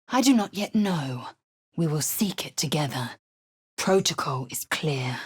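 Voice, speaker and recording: A husky, stern githyanki warrior. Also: female voice, low voice, fairly bright tone, exaggerated voice. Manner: clipped, commanding, contemptuous. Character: stern female githyanki warrior, proud and harsh. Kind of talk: video game character lines.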